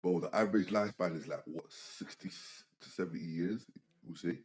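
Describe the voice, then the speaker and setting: A man talks fast and animatedly as a podcast co-host, peppering his sentences with "bro" and "like". His voice sits low and sounds dark and mellow. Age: 20s. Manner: animated, repeats "bro" and "like", restarts a lot. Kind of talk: casual chat.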